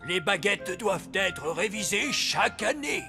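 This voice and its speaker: A man's voice: high-pitched, big pitch swings, a little slow, creaky, music in the background, exaggerated voice. Old male cartoon elf, wise yet finicky, precise, melodic delivery. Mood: fussy, pedantic. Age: older. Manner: pedantic, precise. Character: wise old elf, male, fussy, cautious magic inspector. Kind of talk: French cartoon dub acting.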